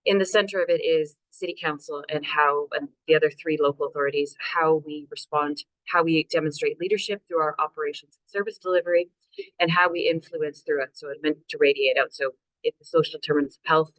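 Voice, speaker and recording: A Canadian woman in her thirties presents over a video call, her voice sitting low for a woman. She talks loosely and chattily, dropping fillers and poking fun at herself. The sound is dry and close. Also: steady tone, fast talker, no room sound, poor audio. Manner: chatty, self-deprecating, many fillers. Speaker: local authority climate officer. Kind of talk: webinar presentation.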